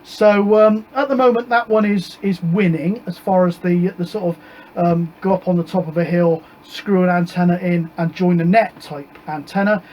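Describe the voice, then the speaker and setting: High-pitched British man, rambling casually. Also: fairly even intonation, normal speed, darkish tone, rough recording. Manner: hesitant, rambling, informal. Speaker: amateur radio hobbyist presenting antenna tests. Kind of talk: casual explanatory vlog.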